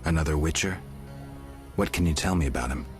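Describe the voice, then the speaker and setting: An actor playing a stoic, gruff monster hunter in a video game, terse and deadpan with a gravelly voice. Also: male voice, a bass voice, a little fast, music in the background. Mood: flat, guarded.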